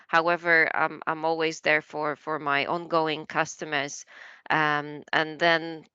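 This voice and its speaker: A woman who coaches languages, Polish by first language, talks through a podcast interview in a lower, bright and crisp voice. She fills her speech with ums, restarts and small laughs. The audio is dry but somewhat dull and lo-fi. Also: little pitch movement, slow pace, no room sound. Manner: chatty, laughs often, many fillers and restarts.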